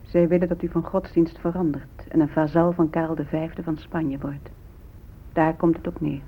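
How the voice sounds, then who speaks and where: A woman's voice: low voice, level tone, normal speed, breathy edge. An actress playing a pointed, explanatory female chronicler and go-between in a radio drama. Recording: muffled old radio recording.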